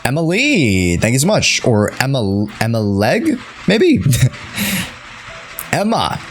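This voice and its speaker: Game streamer, young man: casual live commentary, jokey, very lively delivery, mid-range voice. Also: medium pitch, takes it slowly, a little hiss or hum. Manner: playful, jokey, chatty.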